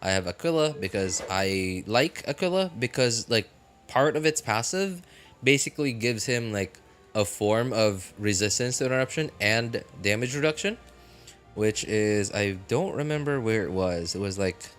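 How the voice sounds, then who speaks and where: Guy with a lowish voice rambling on a game stream, stops and restarts a lot, some music under it. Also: expressive, a bit bright. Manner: rambling, halting, self-correcting. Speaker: live streamer. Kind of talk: casual live game-stream chat.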